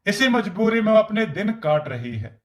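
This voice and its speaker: A man's voice: high-pitched, big pitch swings, moderate pace. A man reading scripted story narration as the narrator.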